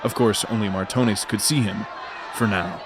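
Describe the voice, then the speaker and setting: A man narrating a scripted fantasy audio drama in a measured, dramatic storytelling manner. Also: fairly low pitch, a crowd in the background, background noise.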